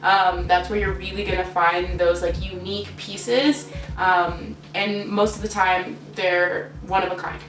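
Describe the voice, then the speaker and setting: A young woman, a guest in a casual chat, speaking in a relaxed way with many "um"s. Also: fairly low pitch, unhurried. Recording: roomy echo, distant mic, background music. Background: background music.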